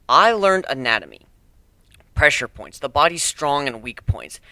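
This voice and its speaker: A man's voice: mid-range voice, bright, forceful tone, clean tone, no room sound. A man reading a dramatic first-person story as a sarcastic, bitter bullied teenage nerd, with emphatic delivery. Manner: sardonic, emphatic.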